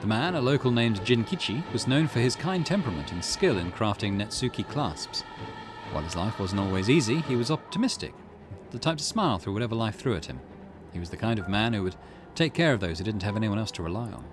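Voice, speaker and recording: A man hosting a gaming video, reading a visual novel aloud and commenting in an expressive storytelling manner. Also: on the low side, quick pace, background noise. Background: music.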